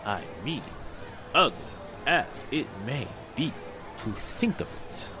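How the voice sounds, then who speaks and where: A man's voice: mid-range voice, big pitch swings. An actor playing a grave, formal older businessman in a mournful 1940s radio drama style. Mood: hesitant, polite. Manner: measured, formal, deliberate. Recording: music and crowd murmur behind the voice.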